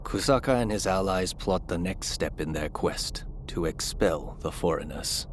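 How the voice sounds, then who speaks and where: A grave storyteller narrating a samurai-era game. His voice sits very low, and the audio has clear background noise. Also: animated, a little slow. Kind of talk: scripted narration.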